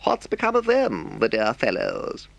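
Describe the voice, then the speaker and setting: A man voicing a conceited, excitable toad gentleman in an audiobook, pompous and plummy, drawing out his exclamations. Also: medium pitch, unhurried, vocal fry, no room sound, pitched above the performer's own voice, exaggerated voice. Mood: feigned concern. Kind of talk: audiobook character voice.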